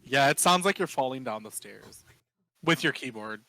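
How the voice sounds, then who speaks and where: A man's voice: medium pitch, animated, moderate pace, bright, forceful tone, dry recording, poor audio. A man chatting and bantering casually as a player in a tabletop game. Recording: clear background noise with clicks.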